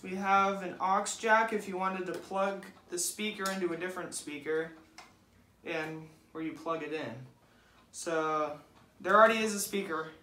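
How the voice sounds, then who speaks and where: A teenage boy hosting a casual unboxing video in a living room, slowly talking through each step as he assembles a toy. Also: high voice, fairly even intonation, bright, forceful tone. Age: teens. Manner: slow, halting, describes each step aloud. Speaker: unboxing host. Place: living room, seated at a table. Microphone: camera across the table. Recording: roomy, camera some distance off.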